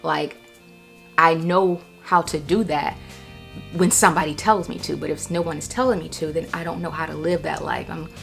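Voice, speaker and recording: Woman with a low, creaky American voice. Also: fairly even intonation, moderate pace, faint music behind. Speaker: vlogger. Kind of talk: casual personal vlog.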